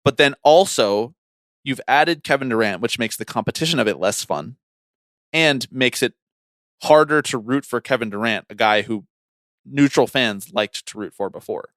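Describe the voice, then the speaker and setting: Male sports podcaster, mid-pitched and very expressive, casual, blunt, opinionated. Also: normal speed, no room sound. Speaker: podcast co-host.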